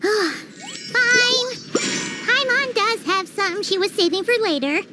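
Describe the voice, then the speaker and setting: A woman voicing a tiny, excitable fairy-like girl companion in an anime game, squeaky and childlike, chirpy and exclamatory. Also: slow, soft background music, full character voice. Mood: worried, reluctant. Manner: chirpy, exclamatory, speaks of self in third person.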